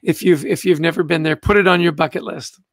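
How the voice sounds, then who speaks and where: An older man from Canada hosting a casual live book club, chatting warmly about favorite books and greeting viewers by name. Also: on the high side, fairly even intonation, fast, no room sound, studio quality. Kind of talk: casual livestream book chat.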